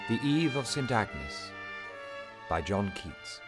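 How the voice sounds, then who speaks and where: A man reciting a narrative poem in a solemn, theatrical manner, with measured, drawn-out phrases. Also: fairly low pitch, very animated, over music. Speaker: poetry reader.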